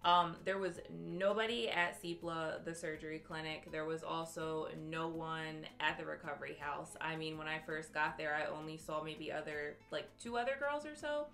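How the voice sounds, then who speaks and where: Chatty young woman with a gravelly low voice. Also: normal speed, few pauses, a bit bright, faint music behind. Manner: chatty, filler-heavy, laughs often. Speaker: vlogger. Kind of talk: casual direct-to-camera review. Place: small indoor room with shelves.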